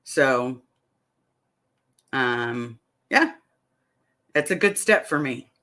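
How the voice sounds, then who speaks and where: Middle-aged woman, live-stream vlogger, chatty and rambling, bright clear tone. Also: extremely low pitch, animated, drawn-out words. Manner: chatty, rambling, reads comments aloud. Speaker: vlogger answering live chat. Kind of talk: casual live-stream chat.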